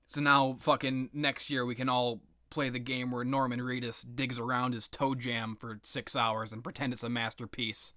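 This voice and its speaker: A man's voice: mid-range voice, little pitch movement, moderate pace, hardly any pauses, pushed and bright, no echo, studio quality. A man giving casual, unscripted opinion commentary on video games in a blunt, sardonic, sweary way. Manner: blunt, sardonic, profane ranting. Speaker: video game commentator, host.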